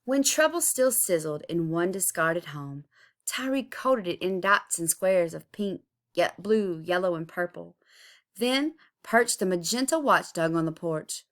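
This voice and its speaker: Slow, lower-voiced woman reading a storybook aloud. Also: expressive. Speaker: storybook reader. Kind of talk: expressive children's read-aloud of a picture book.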